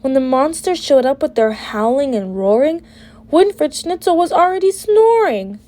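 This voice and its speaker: A woman reading a children's picture book aloud with an expressive, deliberate delivery. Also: high voice. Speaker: storybook reader.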